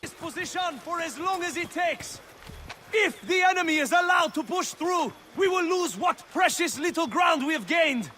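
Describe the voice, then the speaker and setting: French army officer in a video game, pretty high voice, pompous commanding speech, battlefield noise. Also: male voice, expressive, normal speed, pushed and bright, exaggerated voice. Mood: urgent, rallying. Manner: grandiloquent, commanding. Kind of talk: dramatic video game acting. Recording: battlefield and outdoor noise behind.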